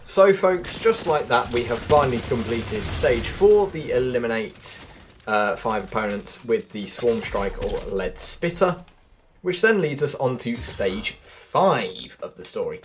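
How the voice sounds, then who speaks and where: A man's voice: mid-range voice, lively intonation, unhurried, slight background noise. Man doing casual gaming commentary. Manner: addresses viewers as folks, thinks aloud. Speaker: gaming video host. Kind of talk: casual gameplay commentary and quest walkthrough.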